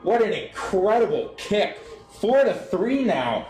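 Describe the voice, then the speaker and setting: A young adult man doing live soccer play-by-play for radio, describing the action in an animated way. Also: high-pitched, slow, echoey room, faint music behind. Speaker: play-by-play sportscaster.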